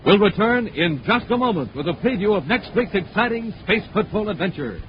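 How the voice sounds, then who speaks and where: High-pitched American 1950s radio announcer man. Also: animated, a little fast. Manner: emphatic, showman-like. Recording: muffled, noisy 1950s radio recording.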